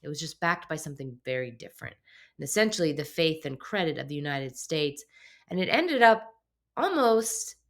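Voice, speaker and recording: A woman with a low, slightly raspy voice hosts an explainer show. She sounds a bit hoarse from a cold. She talks in a relaxed, conversational way and hedges often with little filler phrases. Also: normal speed. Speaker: host. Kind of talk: explainer talk to camera. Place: home office.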